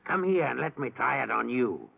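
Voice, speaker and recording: A gravelly, husky amateur hypnotist coaxes and commands in a comic old radio ad. The recording is thin and tinny. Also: male voice, mid-range voice, sing-song, normal speed, exaggerated voice. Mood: coaxing. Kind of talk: old radio comedy advertisement.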